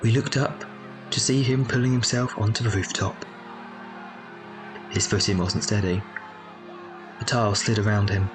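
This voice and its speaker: Reflective male narrator actor, low-pitched and measured, looking back on his youth, faint music underneath. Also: fairly even intonation, lightly acted. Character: reflective grown man recounting his orphaned youth. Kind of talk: dramatised first-person narration.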